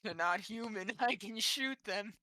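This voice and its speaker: A man with a fairly high, bright voice plays a tabletop game. His casual talk moves animatedly up and down, with no room sound. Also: a little slow, dry recording. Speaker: novice tabletop game player.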